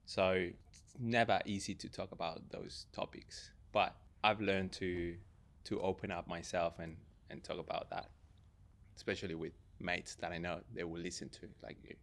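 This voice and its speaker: A man's voice: low-pitched, flat delivery, normal speed, creaky, a bit bright. A young man from Argentina with an Argentine Spanish accent, talking reflectively as a podcast guest. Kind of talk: casual, reflective personal conversation.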